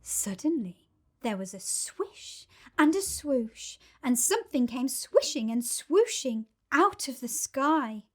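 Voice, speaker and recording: A woman reading a children's story aloud in expressive, dramatic narration. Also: high voice, takes it slowly.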